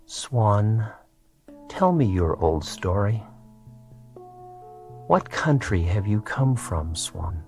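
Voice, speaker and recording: A man giving a meditative reading of spiritual poetry, with spacious phrasing and line endings that fall away quietly. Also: lowish voice, sing-song, extremely slow, pauses often. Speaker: poetry reader. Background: soft guitar music.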